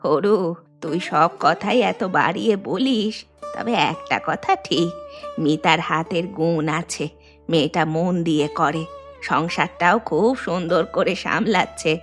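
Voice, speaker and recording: একজন মহিলার কণ্ঠে স্নেহময়ী বৃদ্ধা শাশুড়ি. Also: female voice, lowish voice, measured pace, close to an everyday voice. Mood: affectionate. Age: older. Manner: tender, advising, plain homely speech. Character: old Bengali mother-in-law, affectionate, gentle, home cook. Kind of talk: animated moral story dialogue. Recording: music behind the voice.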